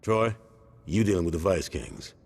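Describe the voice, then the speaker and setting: A man's voice: sits low, expressive, normal speed, vocal fry, darkish tone, slightly rough, exaggerated voice. An actor playing a stern, calculating street gang leader, commanding his crew in slang in a video game cutscene. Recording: long hall reverb.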